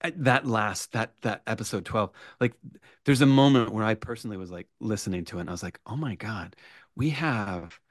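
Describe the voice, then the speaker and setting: A man chatting casually as a writer guest on a podcast, in halting bursts with repeated starts and asides. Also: lowish voice, dry recording, clean studio sound. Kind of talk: animated casual interview chat.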